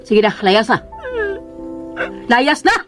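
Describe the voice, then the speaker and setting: A woman's voice: a little high, takes it slowly. An actress with a Filipino accent playing a harsh, haughty woman who bosses servants about in a radio drama. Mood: furious, commanding. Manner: scolding, commanding. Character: harsh, haughty woman bossing and banishing the housemaid. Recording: radio drama with music underneath.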